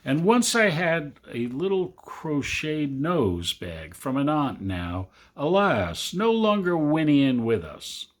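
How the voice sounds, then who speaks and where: A man reading a literary Christmas story aloud to family, with expressive, deliberate emphasis. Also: fairly high pitch. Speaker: amateur storybook reader.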